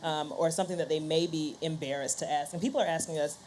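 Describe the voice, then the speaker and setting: A woman's voice: low-pitched, fairly even intonation, fast talker, a little mellow, slight background noise. A woman podcast host speaking conversationally on a panel, voicing little quotes as she explains.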